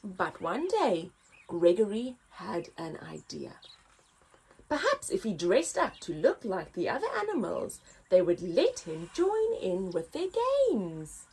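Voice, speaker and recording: Very animated, slow-paced woman storyteller. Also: medium pitch, husky. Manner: expressive, dramatic emphasis. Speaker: children's story time host. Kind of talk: read-aloud storytelling for young children.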